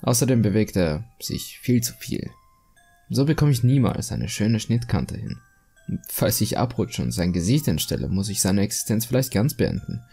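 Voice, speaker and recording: A calmly cruel male killer obsessed with beauty, acted out by a man in a horror audio story. His delivery is husky and expressive, confiding and self-righteous, over faint background music. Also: fairly low pitch, moderate pace, full character voice. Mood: calm menace. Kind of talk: German creepypasta horror monologue, dramatic reading.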